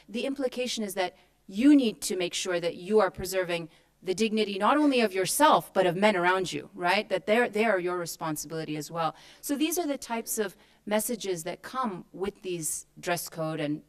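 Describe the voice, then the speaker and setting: A woman from Kuwait speaking as a panelist at a forum, answering a question in an earnest, explanatory way and posing rhetorical questions. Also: medium pitch. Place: conference hall.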